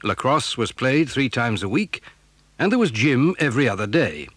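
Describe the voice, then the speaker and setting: A man narrates a children's story from a script. His voice is lively in pitch and a little husky, recorded close and dry. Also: normal speed, dry recording.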